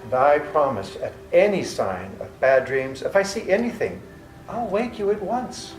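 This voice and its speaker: A man narrating journal entries as a worried young doctor in a live radio play, measured in narration and anxious in scenes. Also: a little high, lively intonation, natural voice. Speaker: narrator and lead actor. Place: library hall with live audience. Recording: echoey hall with faint room noise.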